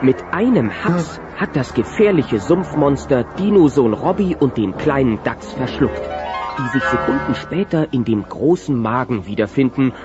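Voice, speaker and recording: A man's voice: medium pitch, expressive, normal speed, lightly acted. A man narrating a German children's audio play in a dramatic storytelling style. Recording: muffled old cassette with music.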